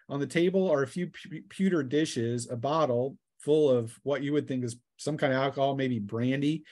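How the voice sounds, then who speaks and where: A man's voice: medium pitch, a little mellow, dry recording, studio quality. A man acting as game master, casually describing scenes and rulings in a tabletop fantasy game.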